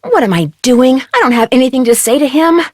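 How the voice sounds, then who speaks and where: A woman's voice: sits high, animated, a little fast, a little mellow, no room sound. A woman playing a polite, inquisitive young amateur detective in a video game, natural and conversational. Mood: curious, polite. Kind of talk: video game character voice lines.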